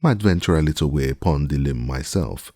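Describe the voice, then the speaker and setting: A man's voice: very deep voice, animated, fairly quick, dark tone, no echo, clean studio sound. A man voicing an older male servant who is superstitious and stubborn, in drawling dialect speech, read as an audiobook character. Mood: fearful.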